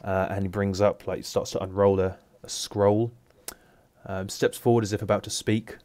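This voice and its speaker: A man narrates a tabletop game as game master in a casual, halting way, with frequent fillers. His voice sits low and is recorded close and dry. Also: flat delivery, a little mellow, no room sound. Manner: casual, hesitant, many fillers.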